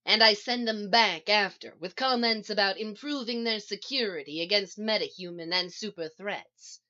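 A woman's voice: medium pitch, moderate pace, bright, forceful tone, highs cut off, natural voice. A woman reading as a mild, tired male supervillain in a story, matter-of-fact and subdued.

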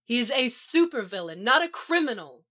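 A woman acting a bossy, exasperated younger sister in a story, forceful and impatient. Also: a little high, big pitch swings, moderate pace, lo-fi sound, pitched above the performer's own voice, heavily acted.

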